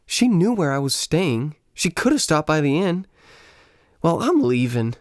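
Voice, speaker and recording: An actor playing a lonely, embittered American schoolteacher in a radio play, self-mocking and dramatic, with an American accent. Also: male voice, fairly high pitch, sing-song, a little slow, no echo, studio quality. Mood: bitter, hurt.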